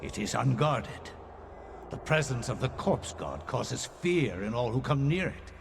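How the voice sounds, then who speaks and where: An actor playing a scheming servant of a dark god in a video game, formal and obsequious in manner. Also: male voice, mid-range voice, very animated, a little slow, vocal fry. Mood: reverent scheming. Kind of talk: video game cutscene acting. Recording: noisy game capture with ambience.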